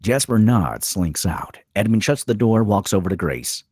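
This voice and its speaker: A man's voice: on the low side, big pitch swings, normal speed, a little mellow, slightly rough, dry recording, studio quality. A man narrating a screenplay's stage directions in a scripted dramatic style.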